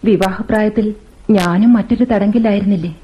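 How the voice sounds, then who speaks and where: A woman playing a sorrowful, bitter housemaid in a Malayalam radio drama, speaking in a deliberate, literary and emotive way. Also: medium pitch, fairly even intonation, dark tone, husky, natural voice, poor audio. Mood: wistful, bitter. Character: sorrowful, bitter housemaid confiding her ruined life.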